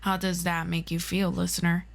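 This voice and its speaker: A woman's voice: on the low side, level tone, moderate pace, vocal fry, slight background noise. A woman voicing a composed, authoritative interrogator from a hero agency council, formal and dry, in an audio roleplay. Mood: cool, probing.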